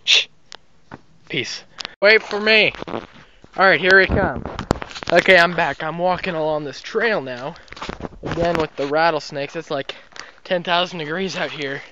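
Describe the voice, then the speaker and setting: A man's voice: a little high, unhurried, long pauses. A teenage boy chatting casually for an amateur vlog, rambling and slangy, into a handheld phone. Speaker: amateur vlogger. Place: outdoors among rocks, later inside a car. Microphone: handheld phone at arm's length. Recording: dull phone audio with loud outdoor and car noise.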